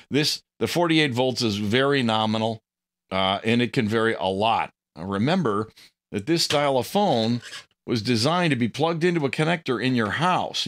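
A man's voice: fairly low pitch, a bit bright. A middle-aged man giving a casual, hands-on tutorial, rambling a little with informal asides. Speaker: presenter of a hobby electronics tutorial.

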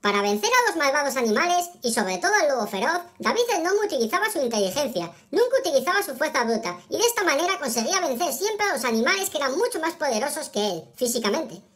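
A person voicing a cheerful male cartoon cat through a pitch-raising app filter, with a Spain Spanish accent, chatting quickly to children about a lesson. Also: very animated, fairly bright tone, faint music behind. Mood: chirpy. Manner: squeaky and rapid, sermonizing on a lesson. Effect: pitch-raised app voice filter.

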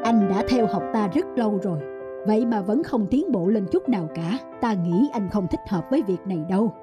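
A woman's voice: mid-range voice, animated, a little fast, over music, close to an everyday voice. A woman voicing a stern, disappointed old male master in a folk tale cartoon.

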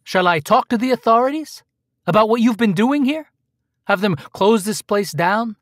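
A hard-nosed Pinkerton detective in a radio play. His male voice sits a bit high and is recorded very dry, and he presses with cool, insinuating threats. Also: animated, moderate pace, no room sound. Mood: threatening. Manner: pushy, coolly threatening.

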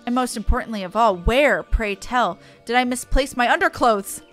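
A woman's voice: a little high, moderate pace, over loud music, lightly acted. A woman voicing a pompous, feeble, bumbling male scholar from a fantasy game, with a wordy, theatrical, dithering delivery. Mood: self-important.

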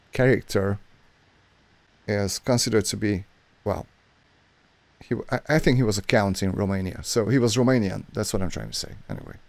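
A man in his thirties from Hungary with a Hungarian accent, chatting excitedly and correcting himself as he reacts to an old film at a studio mic. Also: medium pitch, no echo. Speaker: movie reaction host. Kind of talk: casual reaction commentary. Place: small dark home studio. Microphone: studio mic on boom arm, headphones.

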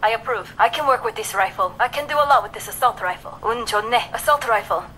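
An actress playing a tough, confident special forces soldier with a Korean accent, in clipped, terse video game combat lines. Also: female voice, on the high side, rapid-fire, pushed and bright, slight background noise, rough recording. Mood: cool, businesslike. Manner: clipped, terse military delivery.

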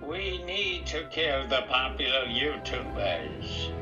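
Casting: formal, loyal henchman to a cartoon villain , male actor, measured pace, loud music underneath. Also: medium pitch, big pitch swings, highs cut off. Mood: solemn, sinister. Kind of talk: amateur parody cartoon dub.

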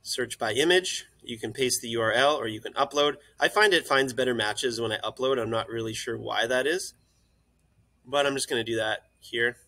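A man's voice: mid-range voice, normal speed. A young man who runs a hot tub shop, talking casually at his computer through a screen-share tutorial with lots of "uh" fillers. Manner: relaxed and friendly, many "uh" fillers. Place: indoors, recording at a computer.

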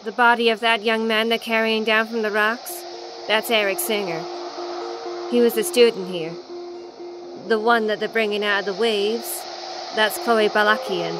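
A woman playing a calm, grave supernatural expert posing as a doctor in a radio drama, measured and gently apologetic. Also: mid-range voice, over music, natural voice, rough recording. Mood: grave, probing.

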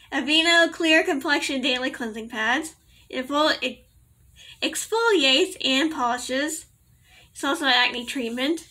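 A young woman in a casual home vlog, rambling and giggling as she corrects herself, her voice a little raspy from allergies. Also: high-pitched, sharp tone. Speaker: vlogger. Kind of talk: casual product review to camera. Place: small bedroom indoors.

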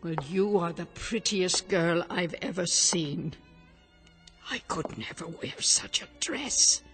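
An actress playing a modest older wife in a radio drama, tender and full of wonder. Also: female voice, on the low side, slow, fairly bright tone, faint music behind. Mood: admiring, modest. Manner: tender, marvelling, self-deprecating.

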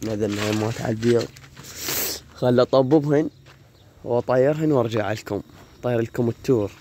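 A man with an Iraqi Arabic accent talks casually to his viewers, his voice hoarse, at a middling pitch. He films his rooftop pigeons on a phone held in his hand. Faint outdoor noise and insects sit behind him. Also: fast. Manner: chatty, talks to the viewers while filming. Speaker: pigeon keeper vlogger. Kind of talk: casual vlog. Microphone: phone camera held while walking.

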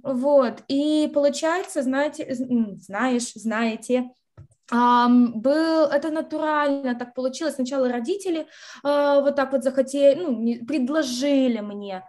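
A young woman from Russia, an interview guest on a video call from home, telling lively stories and slipping in English words. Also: sits high, moderate pace. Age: young adult. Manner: lively storyteller, acts out quotes, mixes in English words. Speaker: interview guest, university graduate. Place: bright room at home.

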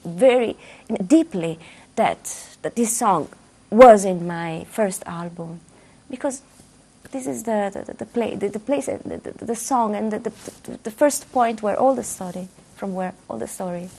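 Gravelly-voiced woman in a studio interview. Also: mid-range voice, unhurried, a little mellow. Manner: thoughtful, repeats words while searching for phrasing. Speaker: musician guest. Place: dark television studio, seated.

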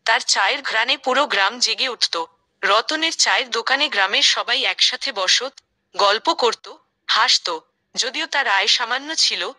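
Synthetic indian bengali woman reading a story, super bright crisp tts voice, a bit high. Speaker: text-to-speech story narrator. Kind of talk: scripted storybook narration.

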